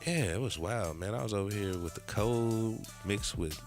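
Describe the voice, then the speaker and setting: A man's voice: on the low side, normal speed, faint music behind. A man co-hosting a casual podcast chat, laid-back and slangy, his voice still a little nasal from a cold. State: slightly nasal after a cold. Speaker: podcast co-host.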